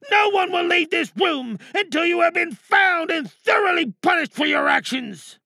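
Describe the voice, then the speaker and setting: A man's voice: very high-pitched, expressive, moderate pace, creaky, pushed and bright, no room sound, clean studio sound, pitched above the performer's own voice, heavily acted. A man playing a grandiose, paranoid villain leader who addresses his followers in theatrical, menacing oratory for an audio drama monologue. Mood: furious, menacing.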